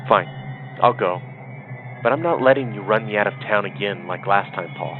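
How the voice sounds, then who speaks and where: A brash younger brother played by a male actor needles and defies in an audio soap opera. His voice is fairly low, thinned by a phone-like recording with faint music behind. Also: normal speed, lightly acted. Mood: defiant, taunting. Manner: cocky, needling. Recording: dull, phone-like narrow sound.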